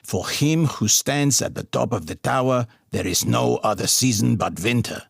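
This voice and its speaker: A stern Russian colonel acted by a male narrator from an audiobook. He speaks formally and with measured threat, in a husky voice recorded dry and close. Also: medium pitch, no echo. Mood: cold menace.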